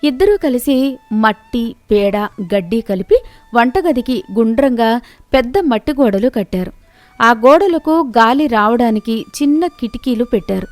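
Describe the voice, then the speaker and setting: A woman's voice: a little high, lively intonation, unhurried, no room sound. A woman narrator reading a scripted moral story.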